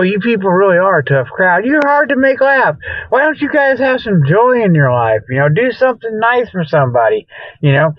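A man with a notably high voice rambles through a comic radio monologue. His talk is folksy and loose, as a host amused by his own jokes. The sound is very dry and a little muffled, like lo-fi radio. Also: no room sound. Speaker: comedy radio show host. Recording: dull lo-fi radio audio.

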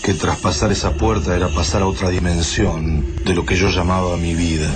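An actor playing a fearful, reluctant hero who gravely recounts his ordeal at the end of the world in a radio drama. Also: low voice, fairly even intonation, normal speed, natural voice. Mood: anguished resolve. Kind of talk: dramatic first-person radio drama narration. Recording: music bed under voice.

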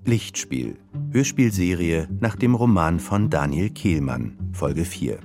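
Deep, slow-speaking male radio announcer. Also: animated, over music. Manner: measured, formal. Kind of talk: scripted radio credits announcement.

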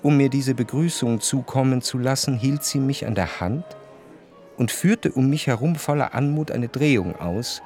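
An actor playing a reflective society narrator in a literary radio drama, with a measured, introspective way of talking. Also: male voice, medium pitch, a little mellow, faint music behind, natural voice. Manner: measured, elaborate, introspective phrasing. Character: reflective male narrator recalling high-society soirées.

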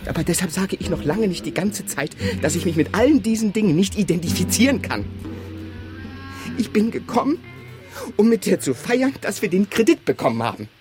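A man's voice: high-pitched, big pitch swings, a little fast, over music. An actor playing an exasperated, sentimental man in a radio drama, speaking in an emphatic, insistent way. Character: exasperated, sentimental partner clinging to household belongings. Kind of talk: radio drama dialogue acting.

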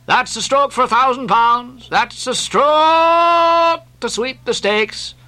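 A man's voice: very high voice, sharp tone, smooth, voice pushed up, full character voice. A man voicing a jolly, rascally second mate who coaxes and playfully scolds his rowers in an audiobook. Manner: coaxing drawl mixed with playful scolding. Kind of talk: audiobook character acting.

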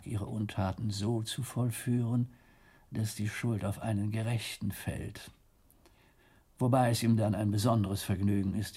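Low-voiced, grave abbot. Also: male voice, dark tone, slightly rough. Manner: formal, deliberate, weighty. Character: grave, courteous abbot of a medieval monastery. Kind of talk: radio play dialogue. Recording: music under the voice.

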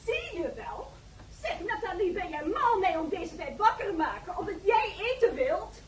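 A woman's voice: very high-pitched, very animated, moderate pace. A woman playing a stingy, haughty lady of the house in a Dutch radio drama, scolding her servant imperiously. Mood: indignant scolding. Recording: faintly noisy radio play recording.

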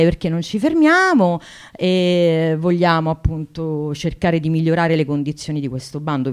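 A woman talking spontaneously on the radio about a protest, often halting and searching for words. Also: sits low, darkish tone, smooth. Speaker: activist guest, singer.

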